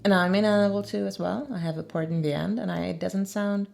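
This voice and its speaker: A woman with a Swedish accent, an actress chatting on a commentary track, quick to agree and often laughing. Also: medium pitch, steady tone, gravelly, a little mellow. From Sweden. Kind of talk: casual commentary chat.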